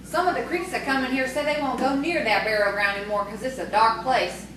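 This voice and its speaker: Woman acting high-voiced, sharp-tongued tavern keeper, echoey. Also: fairly even intonation, a little fast, natural voice. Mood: matter-of-fact. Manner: folksy, teasing, scolding. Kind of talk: audio theatre acting. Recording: very echoey, distant, noisy stage recording.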